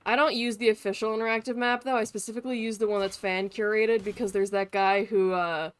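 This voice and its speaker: A woman's voice: medium pitch, steady tone, quick pace, clean tone. A woman live streamer giving casual, irreverent gaming commentary peppered with swearing. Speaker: live streamer playing a game. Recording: faint game music behind voice. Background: game music.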